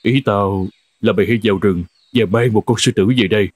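A man voicing a cold, grudging farmer in a cartoon folk tale, gruff and dismissive. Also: on the low side, fairly quick, lightly acted. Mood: reluctant, stern. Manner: gruff, dismissive, sighing reluctantly. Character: cold, grudging male farmer, master of an old horse. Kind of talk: animated folk tale dialogue. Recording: music under the voice.

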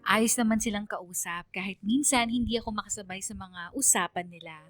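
A woman reads as a reflective young woman telling her story in a radio drama. Her medium-pitched voice is conversational and sincere, with faint music underneath. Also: a little fast, fairly bright tone, close to an everyday voice. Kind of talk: scripted first-person radio drama narration.

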